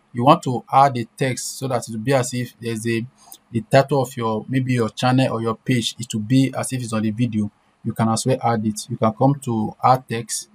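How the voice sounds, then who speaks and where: A man presenting an informal step-by-step screen-share tutorial, repeating himself and often checking in with short questions. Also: mid-range voice. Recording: clear background noise.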